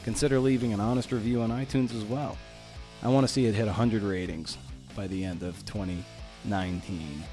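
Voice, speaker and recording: A man with a slightly low voice hosts a podcast. He talks casually and earnestly, with music clearly audible in the recording. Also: steady tone, brisk, darkish tone. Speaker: podcast host, interviewer.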